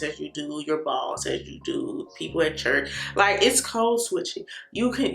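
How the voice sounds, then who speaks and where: A young woman with a Chicago accent, talking to the camera in an energetic, slangy way and laughing often. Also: low-pitched. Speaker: vlogger. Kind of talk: casual talk to camera. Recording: echoey room with soft guitar music.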